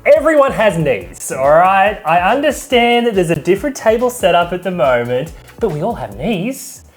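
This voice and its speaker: A man's voice: high-pitched, very animated, normal speed, faint music behind. Chatty man with a cold. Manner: chatty and playful. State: voice rough from a cold. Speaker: co-host. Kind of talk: casual chat.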